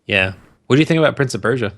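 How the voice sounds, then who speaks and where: A man's voice: medium pitch, animated, racing, a bit bright. A man co-hosting a podcast in casual, opinionated banter, swearing freely and talking in sweeping exaggerations. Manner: profane, hyperbolic. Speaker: podcast co-host.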